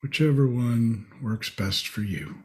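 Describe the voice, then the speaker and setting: A man leading a guided meditation, speaking in short, spacious phrases with long pauses. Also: medium pitch.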